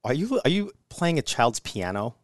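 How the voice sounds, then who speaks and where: A male podcast co-host in loose, joking conversation who trips over his words now and then. His voice sits at a middle pitch. The sound is dry and close-miked. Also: sing-song, normal speed, dry recording. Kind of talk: casual podcast chat.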